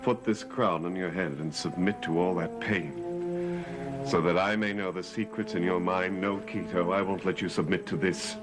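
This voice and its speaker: An actor playing a brooding, cursed man who speaks slowly and gravely, from an old television soap opera. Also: low-pitched, fairly even intonation, music in the background, close to an everyday voice. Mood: anguished. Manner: slow, grave, anguished delivery. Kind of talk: 1970s soap opera acting.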